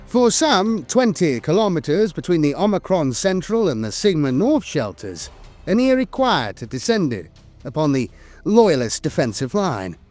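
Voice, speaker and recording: Higher-pitched man narrating lore, lively intonation. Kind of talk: scripted documentary-style lore narration. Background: music.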